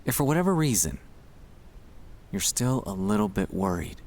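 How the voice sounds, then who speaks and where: A man speaking in a gentle, soothing, reassuring way, delivering a scripted ASMR guided relaxation to help the listener sleep. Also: fairly low pitch, big pitch swings, moderate pace.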